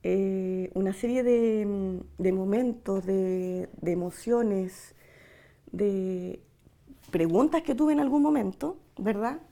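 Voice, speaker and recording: Chilean woman, forties, oral-history interviewee. Soft-edged, mellow tone with marked vocal fry; thoughtful, halting delivery. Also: medium pitch. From Santiago, Chile. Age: 40s. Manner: reflective, hesitant, searching for words with long pauses. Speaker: interviewee giving testimony. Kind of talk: personal oral-history testimony.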